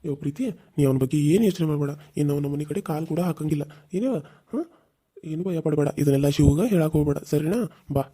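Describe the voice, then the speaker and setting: A man's voice: a little high, quick pace, warm tone, close to an everyday voice. A man playing a stern protective uncle. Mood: comforting. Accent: North Karnataka Kannada. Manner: scolding, threatening. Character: stern protective older uncle, head of house.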